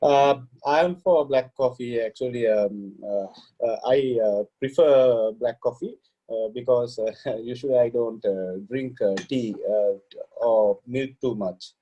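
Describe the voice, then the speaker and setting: A man's voice: mid-range voice, slow pace, mellow. A man from Sri Lanka, a podcast guest on a video call, speaking hesitantly with many fillers. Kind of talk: casual video-call interview. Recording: dull lo-fi sound.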